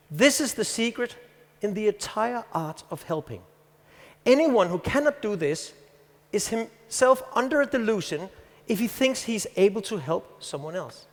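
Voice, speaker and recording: A Danish-accented man in his fifties giving a conference talk, telling stories and reading a passage aloud with measured pauses. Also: high voice. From Denmark. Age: 50s. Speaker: conference speaker. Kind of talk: presentation to an audience. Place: large conference hall. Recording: hall reverb.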